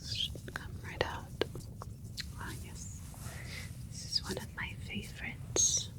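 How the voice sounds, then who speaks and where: A woman playing a gentle alien scientist doctor in a whispered ASMR sci-fi roleplay, speaking soothingly in a breathy voice with unhurried, halting phrases. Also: lightly acted. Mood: calm, pleased.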